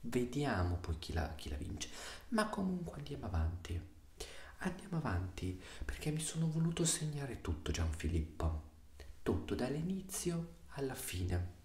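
A young man playing a stern, exasperated father in a soft-spoken ASMR roleplay, hushed and often slipping into whispers. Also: medium pitch, very animated, moderate pace. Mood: exasperated, sarcastic. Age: 20s. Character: stern, exasperated, sarcastic Italian father scolding his son. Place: small room indoors. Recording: roomy echo, faint hiss.

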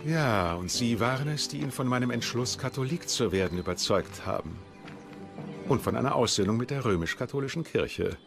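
Man playing a courtly king with lively, swooping intonation. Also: mid-range voice, close to an everyday voice. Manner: formal, measured, courtly. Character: courtly king, fond, scheming, dryly amused. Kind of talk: dramatized documentary dialogue.

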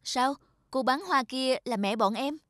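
A woman voicing a kindly, polite young man in an animated moral story, speaking gently. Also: sits high, a little slow. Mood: puzzled. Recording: loud music over the dialogue.

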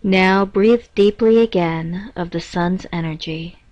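A woman reading a scripted guided meditation in a measured, instructing manner with pauses between phrases. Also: fairly low pitch, a little mellow. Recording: dull, roomy echoing lo-fi recording.

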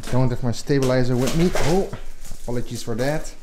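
A man's voice: mid-range voice, a little fast. Male explorer, noisy on-location camera audio. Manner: short, off-the-cuff remarks while walking. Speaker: urban explorer and vlogger. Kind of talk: casual exploration vlog commentary. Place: abandoned wooden mine buildings in the Arctic. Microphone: handheld camera mic, speaker behind camera.

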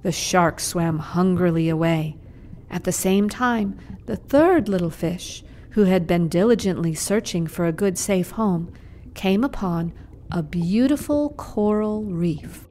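A woman storyteller narrating a scripted children's puppet show in a measured, expressive way. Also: fairly low pitch, slight background noise.

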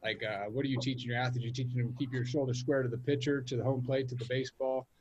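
A young man moderating a baseball coaches' panel on a video call, asking quick casual questions. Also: mid-range voice, little pitch movement, a little mellow, lo-fi sound, slight background noise. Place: outdoor covered porch. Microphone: video call webcam.

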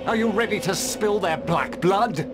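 An actor playing a fierce, hearty northern warrior chieftain in a fantasy game, bold and boisterous. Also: male voice, high voice, a little slow. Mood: hearty, bloodthirsty. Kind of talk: fantasy video game cutscene acting. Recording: loud music under the voice.

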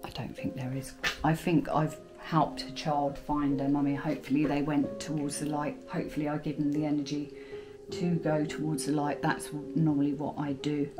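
A woman in her thirties vlogging a ghost hunt in a dark bedroom of an abandoned farmhouse, calling out to spirits and then speaking low and shaken to the camera, recorded on a close handheld camera. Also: flat delivery, moderate pace, over music, rough recording. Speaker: paranormal investigator. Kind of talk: ghost-hunting vlog narration.

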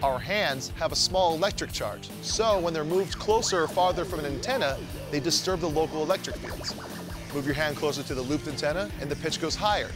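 High-voiced American male show host. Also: a little fast, music in the background. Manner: jokey, theatrical presenting. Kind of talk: scripted science show narration.

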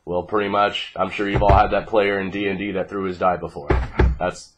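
A young man with a low, groggy voice talks through a card deck. He is casual and unscripted, swearing now and then in a laid-back way. A faint noise sits behind him on the homemade recording. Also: flat delivery, fairly quick. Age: 20s. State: groggy, congested from fighting off a cold. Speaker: card game player presenting a deck. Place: small living room at home, indoors. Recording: noisy home webcam recording with clicks.

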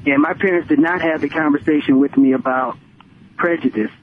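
An older American man calls in on a thin, tinny phone line with slight noise. His voice sits somewhat high. He makes his case emphatically, circling back to repeat each point. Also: fairly even intonation, a little fast. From Connecticut, United States. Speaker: radio phone-in caller. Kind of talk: opinionated call-in talk. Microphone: telephone.

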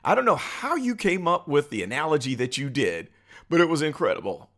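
Male American commentary host, middle-aged, slangy, very lively intonation. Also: a little high, a little fast. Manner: animated, slangy, amused. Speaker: political commentary video host. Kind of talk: casual reaction commentary.

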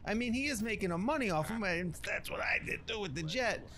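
A man acts out a cocky, slangy former drug cook telling his tale over a video game. The voice sits fairly high for a man and jumps around in pitch, with a crackly edge. He rambles like a folksy tough guy. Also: animated, fairly quick, crowd noise. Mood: rambling, cocky. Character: rambling streetwise ex-drug cook recounting a legend. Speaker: let's player. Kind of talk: in-character running game commentary.

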